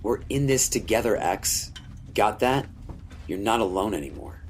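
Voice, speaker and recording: A wounded man, played by an actor, speaks in a somewhat low voice, steady and reassuring. He has a dry, self-deprecating streak suited to audio drama dialogue. Light ambient noise runs underneath. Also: moderate pace, lightly acted.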